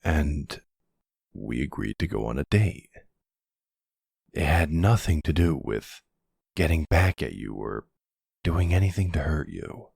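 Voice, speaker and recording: A man voicing a middle-aged silver fox mafia boss in an ASMR roleplay drama, firm but protective, with a measured, fatherly, streetwise manner. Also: darkish tone, no echo, clean studio sound, full character voice. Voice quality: low gravelly husky.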